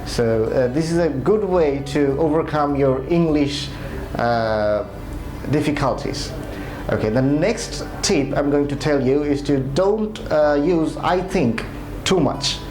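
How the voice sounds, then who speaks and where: Male tutor, hesitant delivery full of fillers, roomy echo with soft music behind. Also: fairly high pitch, slow pace. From Bangladesh. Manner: hesitant, many "uh" fillers and restarts. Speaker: IELTS tutor. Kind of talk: spoken exam tips lesson.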